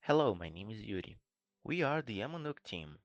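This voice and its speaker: A man's voice: lowish voice, sing-song, a little fast, no room sound, highs cut off. A young man from Brazil, a university student, reading a scripted team presentation. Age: young adult. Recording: music playing behind.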